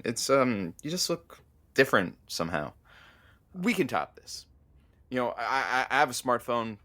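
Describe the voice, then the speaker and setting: A wry grumbly radio producer, exasperated but fatherly, pitch jumps around, audio drama. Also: expressive, moderate pace, bright, forceful tone. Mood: hedging. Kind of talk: naturalistic audio drama acting.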